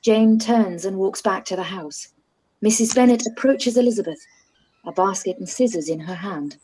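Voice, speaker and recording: A woman narrating stage directions for a live-read radio play in a plain, even way. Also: medium pitch, moderate pace, slight background noise, rough recording.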